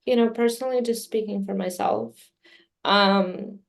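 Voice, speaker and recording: A woman whose first language is Serbian, answering interview questions in a measured way with many fillers. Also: mid-range voice, creaky. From Midwest US. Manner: measured, many fillers, speaks of herself personally. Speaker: communications director, interview guest.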